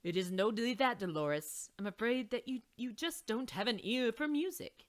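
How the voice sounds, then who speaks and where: A woman's voice: expressive, moderate pace, natural voice. A woman reading aloud and voicing a pompous, theatrical male mouse choir master in a storybook. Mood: apologetic. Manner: booming, theatrical.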